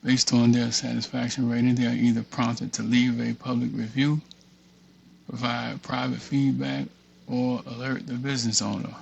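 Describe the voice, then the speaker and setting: A man's voice: mid-range voice, little pitch movement, moderate pace, vocal fry, dull audio, slight background noise. A man hosting a casual tutorial, reading a document aloud and muttering offhand asides to himself as he works. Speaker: tutorial host. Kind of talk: casual screen-share walkthrough, reading a document aloud with off-the-cuff remarks.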